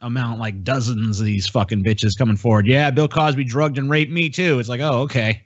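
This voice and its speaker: Man, mid-pitched voice, podcast host, crude and sweary, casual banter, dry close sound. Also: fairly quick, dry recording, dull audio. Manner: crude, heavily profane. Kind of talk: casual podcast banter, reading viewer messages.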